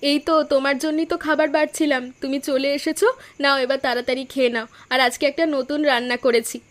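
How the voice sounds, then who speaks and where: A woman's voice: sits high, little pitch movement, fast, close to an everyday voice. A woman playing a fretful, scolding village wife and mother in a cartoon, emphatic and dramatic. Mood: homely, content. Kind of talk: Bengali cartoon voice acting.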